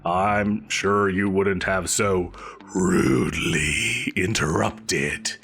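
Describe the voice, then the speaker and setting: An actor playing a pompous, petulant supervillain boss in an audio roleplay, blustering theatrically and defensively. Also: male voice, low-pitched, very slow, vocal fry, fairly bright tone, husky, soft background music, exaggerated voice. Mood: grudging, exasperated. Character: pompous, petulant, evasive male supervillain employer. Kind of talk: scripted audio roleplay addressed to a listener.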